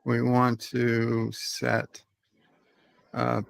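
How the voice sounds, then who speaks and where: A man streaming a game, slowly and methodically talking through what he is doing. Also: mid-range voice, completely flat delivery, gravelly, no echo. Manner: slow, methodical, thinking aloud. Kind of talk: casual gameplay narration.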